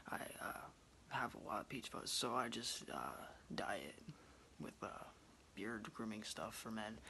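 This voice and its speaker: Young man rambling to his phone, gravelly. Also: medium pitch, slight background noise. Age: 20s. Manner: relaxed, rambling, many ums. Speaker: vlogger. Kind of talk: casual chat to camera. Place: small indoor room at home. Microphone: phone camera close up.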